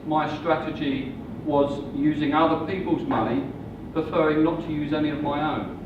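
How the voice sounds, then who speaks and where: A man in his sixties giving a measured, slow sales presentation about property investing. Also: on the high side. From England. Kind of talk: seminar presentation. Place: hotel function room. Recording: muffled, distant, echoey room with noise.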